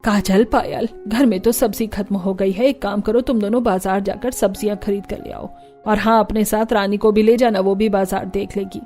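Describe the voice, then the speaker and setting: Woman voicing a placating middle-aged mother-in-law, lowered tone. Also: fairly even intonation, normal speed, dark tone, faint music behind, close to an everyday voice. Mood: instructing. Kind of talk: cartoon story character voice.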